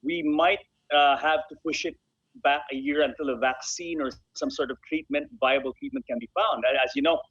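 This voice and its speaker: A man's voice: fairly high pitch, fairly even intonation, fairly quick, a bit bright, slightly rough, dry recording. A man giving polite, conversational interview answers as a guest, often repeating words as he goes. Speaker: guest, bobsled team president. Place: remote call from Manila. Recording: muffled, lo-fi online call.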